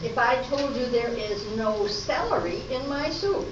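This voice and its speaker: A fussy lady diner, acted by a woman in an amateur radio comedy, with big theatrical delivery. The voice is high and slow, caught from a distance in an echoey, noisy hall. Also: lightly acted. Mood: puzzled, complaining. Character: fussy female diner complaining about her food. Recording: roomy hall, distant mic, dull, noisy.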